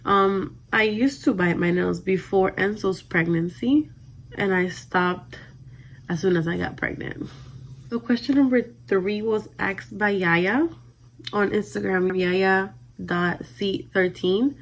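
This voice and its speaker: A woman in her twenties with a Dominican accent answers questions in a relaxed, chatty vlog. Her voice is soft, and she often lets the ends of her lines fade out quietly. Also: medium pitch, takes it slowly, low-quality recording. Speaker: vlogger. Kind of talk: casual Q&A vlog.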